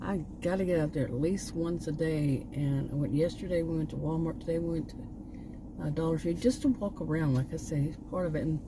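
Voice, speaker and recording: A woman vlogger chatting casually, meandering and restarting her sentences, her voice going hoarse. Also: low voice, fairly even intonation, a little fast, noisy background. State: losing her voice. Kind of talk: rambling casual chat. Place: outdoors.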